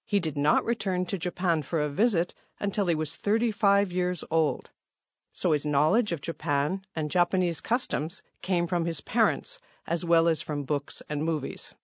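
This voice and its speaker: A woman reads a listening-exam passage in a slow, careful, even delivery. The sound is dry and close, with no room around it. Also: on the low side, dry recording. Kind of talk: scripted narration.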